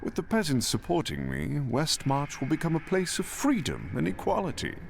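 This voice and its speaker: A man's voice: on the high side, huge pitch swings, normal speed, gravelly, a little hiss or hum, full character voice. A man voicing an ambitious, ruthless usurper in a video game, theatrical, self-righteous and calculating. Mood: smug, ambitious. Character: ambitious, ruthless male usurper with royal blood.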